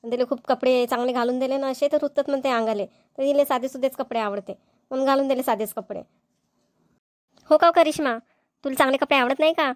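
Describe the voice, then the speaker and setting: A woman's voice: sits high, quick pace, no echo, studio quality, close to an everyday voice. A woman voicing a gossipy, impatient village housewife in a cartoon comedy, with a Vidarbha Marathi accent. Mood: gossipy, nosy.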